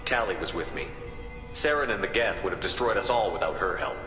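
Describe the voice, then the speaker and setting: A man's voice: sits low, lively intonation, brisk. An actor playing a composed male military commander in a video game, speaking in a direct, businesslike way. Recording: echoey hall reverb, music behind.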